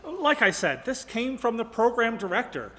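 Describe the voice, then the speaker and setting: An actor playing a guilt-ridden, exasperated radio director in a live stage radio play. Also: male voice, extremely high pitch, big pitch swings, normal speed, bright, forceful tone, exaggerated voice. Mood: defensive, earnest. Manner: emphatic, exasperated, theatrical. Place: large ballroom, live before an audience. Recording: echoey hall, live stage performance.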